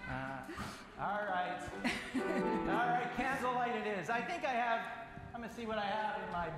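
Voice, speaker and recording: High-voiced man in a very echoey hall. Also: animated, normal speed. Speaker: church event leader doing a magic trick. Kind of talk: playful live magic-show patter to children. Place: large indoor church hall with an audience. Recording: echoey reverberant hall, music behind.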